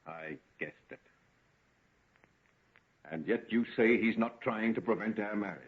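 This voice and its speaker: An actor playing a tormented, brooding gentleman scientist in an intense, melodramatic golden age radio drama style. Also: lowish voice, unhurried, lightly acted. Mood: bitter. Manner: intense, melodramatic, grandiose phrasing. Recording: tinny band-limited vintage radio recording.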